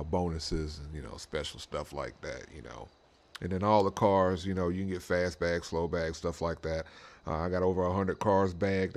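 A man with a deep voice hosts a gaming channel, talking in a flat, even tone. His delivery is casual and rambling, full of slang and repeated tag phrases, with a laid-back manner throughout. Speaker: host of a racing roleplay channel. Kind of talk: casual rambling gameplay commentary.

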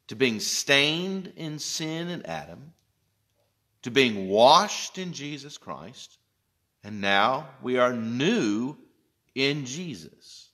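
Slow, lively-voiced pastor teaching children. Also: male voice, mid-range voice. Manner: slow, gentle, explaining step by step with checking questions. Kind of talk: children's Bible lesson. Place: church sanctuary, indoors. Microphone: phone camera held close.

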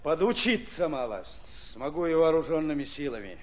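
An actor playing a fiery, folksy Red Army commander in a radio drama, with plain peasant speech delivered forcefully. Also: male voice, fairly high pitch, lively intonation, moderate pace, natural voice. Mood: wry, easygoing.

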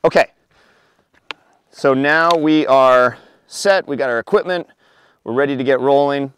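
A man in his thirties, an endurance coach, explaining roller ski gear outdoors by a forest road, relaxed, with frequent fillers. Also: mid-range voice, unhurried, clear, resonant tone. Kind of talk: outdoor equipment tutorial.

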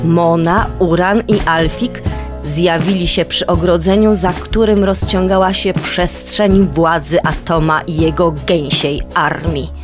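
A woman's voice: on the low side, fairly even intonation, unhurried, close to an everyday voice. A woman playing the storyteller, narrating a scripted children's barnyard tale. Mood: measured storytelling. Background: music.

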